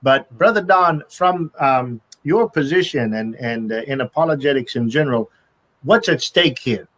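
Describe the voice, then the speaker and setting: A middle-aged man hosting a panel discussion over a video call, speaking courteously with halting restarts. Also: mid-range voice, animated, fairly bright tone, poor audio. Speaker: show host. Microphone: video call webcam.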